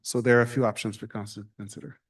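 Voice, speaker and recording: A man, reading a formal report to a council meeting in a measured, official way. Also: medium pitch, fairly even intonation. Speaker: municipal staff presenting a report.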